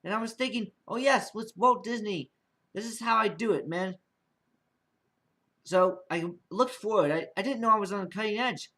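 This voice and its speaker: A man's voice: high-pitched, moderate pace, pushed and bright. A young man giving a casual, unscripted vlog monologue as an amateur podcast creator, rambling and often repeating and restarting his phrases.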